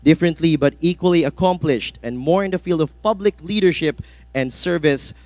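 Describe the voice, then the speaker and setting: A man's voice: on the high side, fairly quick, darkish tone, no echo. A man speaking as master of ceremonies, giving formal scripted introductions in a ceremonious announcing manner. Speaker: event host, master of ceremonies.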